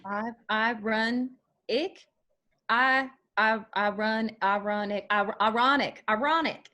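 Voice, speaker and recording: A woman's voice: on the high side, slow pace, pushed and bright. A woman acting a spirited young female band musician in a screenplay table read, speaking casually and expressively. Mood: curious, halting.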